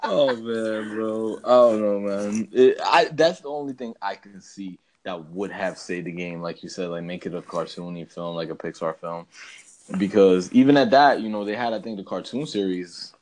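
Male podcast co-host, lower register, loose slangy banter, opinionated and jokey. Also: moderate pace, darkish tone. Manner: slangy, peppering talk with "bro" and "man". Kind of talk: casual movie chat, ranting and joking.

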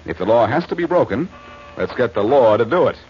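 An actor playing a smooth, wisecracking amateur detective with an American accent in a 1940s-style radio drama, brisk and flirtatious. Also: male voice, mid-range voice, very animated, loud music behind the voice, lightly acted. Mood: bantering. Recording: muffled, noisy old radio recording.

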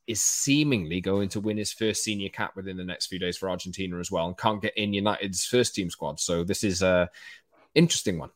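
A Welsh man hosting a football podcast, talking in a quick, chatty, energetic way. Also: fairly low pitch, no room sound. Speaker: podcast host. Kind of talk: casual football podcast chat.

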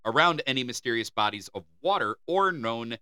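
A man's voice: medium pitch, expressive, a little fast, bright, forceful tone, clean tone, dry recording, clean studio sound. An American man co-hosting a comedy podcast, reading folklore aloud and joking with casual swearing. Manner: reads folklore aloud, then riffs with swearing and laughs. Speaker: podcast co-host.

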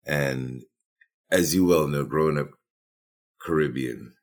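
Man, extremely low voice, reflective and halting guest. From Trinidad. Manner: reflective, halting, repeats words as he starts. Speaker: boom operator and filmmaker. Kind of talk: interview guest.

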